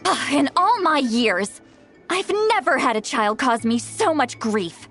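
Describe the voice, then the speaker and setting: Sighing old grandmother, scolding, high lively voice, game music faintly behind. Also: a little slow, heavily acted. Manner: nagging, exasperated scolding with sighs. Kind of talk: video game cutscene dialogue.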